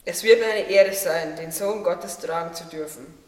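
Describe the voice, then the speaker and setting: A man's voice: high-pitched, moderate pace, close to an everyday voice. A man playing an earnest, humble talking tree full of self-doubt in a German radio play. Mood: solemn, devoted. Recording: echoey hall, distant from mic.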